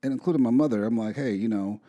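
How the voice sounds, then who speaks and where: A man's voice: mid-range voice, quick pace, warm tone, lo-fi sound. A man co-hosting a casual podcast, chatting in a rambling way with lots of restarts. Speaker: podcast co-host. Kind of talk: casual chat.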